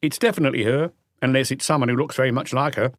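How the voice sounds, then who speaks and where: Male narrator doing a cautious café waiter from a detective book, higher voice, eager to help. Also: fast, no room sound, clean studio sound, lightly acted. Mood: careful, insistent.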